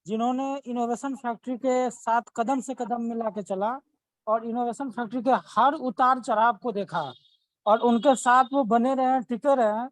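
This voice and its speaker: High-voiced man hosting a video call. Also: dry recording. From Bihar, India. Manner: long winding sentences, effusive praise of the guest. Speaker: meeting host and promoter. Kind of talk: formal welcome speech on a video call. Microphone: video call microphone.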